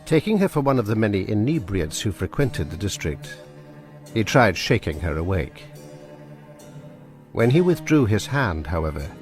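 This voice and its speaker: A man narrating a period radio drama in a measured, grave storytelling manner. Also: sits low.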